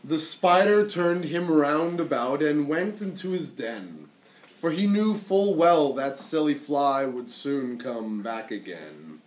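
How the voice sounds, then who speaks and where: A man reading a storybook aloud to children in a dramatic, theatrical and deliberate way. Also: mid-range voice. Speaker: story reader. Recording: echoey, noisy room, distant mic.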